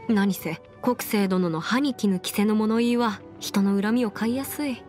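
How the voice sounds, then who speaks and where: A woman voicing a confident, brisk young half-immortal lawyer in an anime game, with crisp, formal phrasing and music behind her. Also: mid-range voice, darkish tone, close to an everyday voice. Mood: composed, musing. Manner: crisp, self-assured, formal mannish phrasing. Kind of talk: scripted anime game character voice lines. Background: background music.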